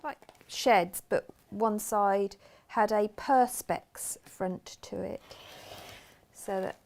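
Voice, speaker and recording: A woman on a crafting show who explains sewing steps calmly and chimes in with brief replies and small exclamations. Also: medium pitch, very slow. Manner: warm interjections, calm step-by-step instructions. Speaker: co-host. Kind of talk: casual chat while demonstrating sewing.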